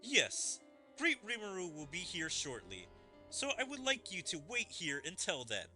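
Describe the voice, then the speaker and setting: A man's voice: sits high, wildly swooping pitch, a little slow, bright, forceful tone, soft background music, full character voice. Man doing a polite, raised-voice oni swordsman. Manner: polite, formal. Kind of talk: reading game dialogue aloud in character.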